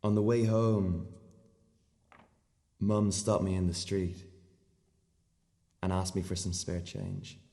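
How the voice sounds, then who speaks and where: An actor playing a naive, earnest seventeen-year-old schoolboy in a radio play monologue, telling his story in clipped, deadpan fragments. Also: low voice, slow, long pauses. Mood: puzzled, matter-of-fact. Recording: long hall-like reverb.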